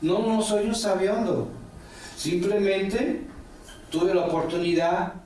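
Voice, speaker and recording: A man's voice: high voice, slow pace, dark tone, distant mic, slight background noise. A man, answering interview questions in long rambling stories full of quoted talk and "ujú" fillers. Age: 40s. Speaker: interview guest, religious elder. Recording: echoey room, a bit off-mic, faint background.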